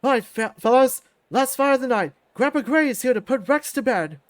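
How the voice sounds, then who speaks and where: A man's voice: very high voice, lively intonation, brisk, voice pushed up. A man voicing a sleazy, brash fight ring announcer who hypes up the bets in a visual novel story. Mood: hyped. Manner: brash showman hype.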